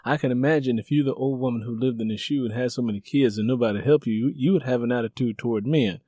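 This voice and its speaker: A man hosts an interview with a dry sound and an average-pitched voice. He talks conversationally and discursively, building gradually toward what he wants to ask. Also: fast talker, dark tone, no room sound. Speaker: interview host.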